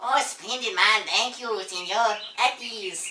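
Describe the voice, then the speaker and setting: A woman's voice: fairly high pitch, unhurried, pushed and bright. A woman voicing a blustering, jovial man who thinks he is a president, with a mock Jamaican-Mexican accent, in a comedy read-through. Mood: jovial, commanding. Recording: roomy echo, distant mic.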